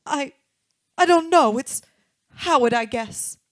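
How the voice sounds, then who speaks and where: A woman plays an anxious young married woman from a vintage-style radio detective drama. She talks earnestly and politely in a slightly high voice, recorded dry without room sound. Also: slow pace, dry recording. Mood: uneasy. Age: young adult. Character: anxious, well-off married woman in her late twenties. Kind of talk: old-time radio drama acting.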